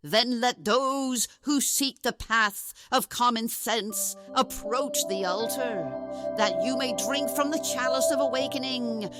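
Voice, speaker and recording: A woman's voice: fairly high pitch, slow pace. A woman playing a dignified ringmaster who leads a sect, formal and solemn, in an audio drama with music underneath. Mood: ceremonious.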